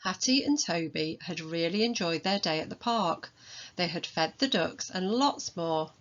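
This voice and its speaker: A woman reading a children's storybook aloud as its author, in an expressive manner. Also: fairly low pitch, a little slow, lo-fi sound. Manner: expressive, acts out dialogue.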